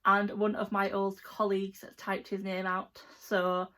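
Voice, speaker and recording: A woman's voice: medium pitch, little pitch movement, unhurried, sharp tone. A young adult woman with an English accent, chatting casually and informally to viewers as a book vlogger, rambling a little. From England. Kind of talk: casual chat to camera.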